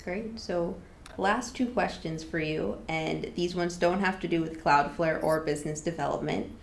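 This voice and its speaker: A woman's voice: lowish voice, normal speed, creaky. A woman podcast host asking friendly, affirming interview questions. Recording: echoey room with faint background noise.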